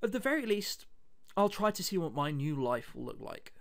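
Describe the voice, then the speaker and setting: A man's voice: fairly high pitch, big pitch swings, normal speed, vocal fry. A man reading the part of a bitter, sardonic teenage boy in a visual novel. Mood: brooding, resigned. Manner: indignant, brooding, sardonic. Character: bitter, sarcastic teenage boy with a heart condition.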